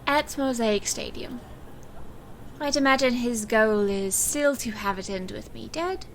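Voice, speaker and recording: A resolute, guarded woman magician, played by an actress in an audio drama. Her voice sits a little high and she speaks in a grave, measured way. Faint noise runs under the recording. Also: a bit bright. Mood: firm, resolved. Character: resolute, guarded woman stage magician facing her murderous birth father.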